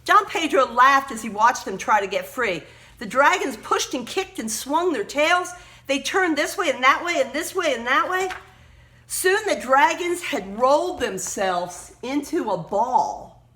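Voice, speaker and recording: A woman storyteller reads to children in a high, bright voice with very lively swings of pitch. She is emphatic and expressive, stepping out of the tale to point out words and pictures in a teacherly way. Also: unhurried. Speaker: read-aloud storyteller.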